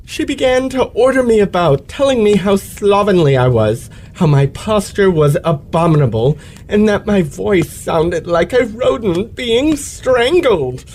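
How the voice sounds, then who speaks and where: An actor as a pompous, aggrieved gentleman lecturer. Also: high voice, big pitch swings, measured pace, few pauses, slight background noise, exaggerated voice. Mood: aggrieved, theatrical. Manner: florid, theatrical, precise elocution. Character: pompous, aggrieved male lecturer, florid and self-pitying. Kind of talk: old-fashioned radio play acting.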